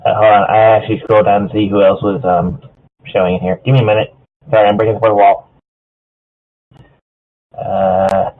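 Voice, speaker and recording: A man's voice: lowish voice, level tone, normal speed, pauses often. A man reading fan fiction casually with a group, stumbling over the odd word. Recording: thin phone-like voice chat.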